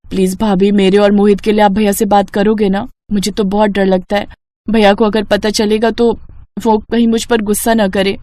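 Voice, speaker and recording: A woman's voice: mid-range voice, little pitch movement, moderate pace, warm tone, no echo, natural voice. A woman voicing a timid, earnest young woman in love in a family story. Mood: timid pleading. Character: young in-love sister-in-law, shy, pleading.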